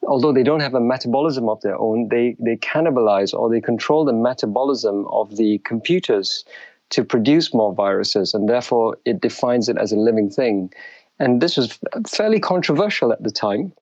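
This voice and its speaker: A middle-aged man from Malaysia recounts his upbringing as an interview guest, measured and reflective, pausing to restart his sentences. The recording is dry and a little muffled, with no room sound. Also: mid-range voice, animated, darkish tone, no echo. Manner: measured, thoughtful, with frequent restarts.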